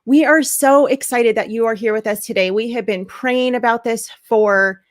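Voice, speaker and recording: A woman hosting a live online event, upbeat and effusive, her voice slightly hoarse. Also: fairly high pitch, moderate pace. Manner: upbeat, effusive welcomes, laughs often. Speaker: host.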